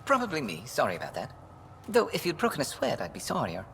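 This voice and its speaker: A retired alien special-forces major for a video game. The voice is creaky and swings widely in pitch, with a dry, frank, shrewd manner and faint noise behind it. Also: male voice, huge pitch swings, fast, fairly bright tone, full character voice. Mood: wry. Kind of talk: video game character dialogue.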